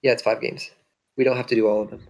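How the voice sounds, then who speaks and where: A man, French and Hungarian by birth, chatting casually while streaming a strategy game, often pausing and searching for words. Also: mid-range voice, flat delivery, gravelly, darkish tone, slight background noise, low-quality recording. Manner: hesitant, searching for words, many fillers. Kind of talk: casual gaming chat.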